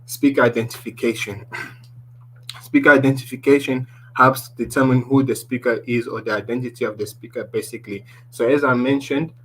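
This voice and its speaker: A man with a deep voice presenting a tech lesson, walking through slides and often restarting his sentences. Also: fairly even intonation, fairly quick, a bit bright, slight background noise, poor audio. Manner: explaining slides, restarts often, ends points with "basically".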